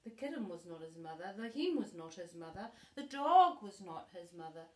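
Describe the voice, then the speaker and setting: A middle-aged woman reading a children's story aloud expressively, with deliberate emphasis for a young listener. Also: lowish voice, sing-song, darkish tone. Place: living room sofa, indoors. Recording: echoey living room.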